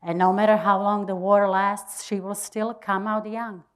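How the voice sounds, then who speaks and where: A woman giving a dramatic reading of a diary, deliberate and expressive, with pauses. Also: medium pitch, clean tone. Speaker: reader of a diary.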